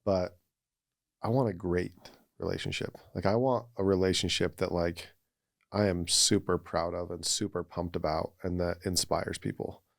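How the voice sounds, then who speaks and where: Very deep-voiced man telling personal stories. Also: steady tone, moderate pace, gravelly, no echo, studio quality. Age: 30s or older. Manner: earnest, reflective, frequent "like". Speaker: podcast guest. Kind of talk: casual personal storytelling.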